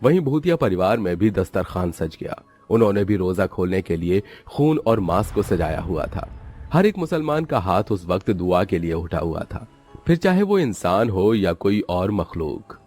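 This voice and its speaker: A man's voice: low-pitched, very animated, moderate pace, a little mellow, soft background music. A man narrating a scripted story in a dramatic storytelling style.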